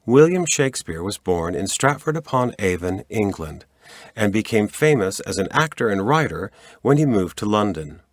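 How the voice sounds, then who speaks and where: A man's voice: lowish voice, sing-song, moderate pace, no room sound. A man delivering scripted educational narration.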